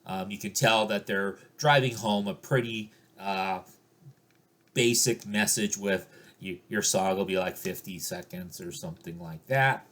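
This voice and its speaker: A man's voice: lowish voice, measured pace, a bit bright. A man casually showing off his recent record finds, with many ums and rambling asides. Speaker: record collector vlogger. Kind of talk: casual record show-and-tell.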